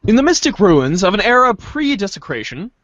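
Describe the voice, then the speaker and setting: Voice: medium pitch, sing-song, a little fast, fairly bright tone, dull audio. A podcast host doing a playful, theatrical group reading of a webcomic script. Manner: playful, theatrical page-change calls.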